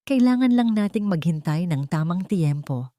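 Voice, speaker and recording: A woman's voice: lowish voice, lively intonation, normal speed, mellow, dry recording, studio quality, close to an everyday voice. A woman as a determined, restrained young wife. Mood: resolute. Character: careful, determined young wife plotting escape.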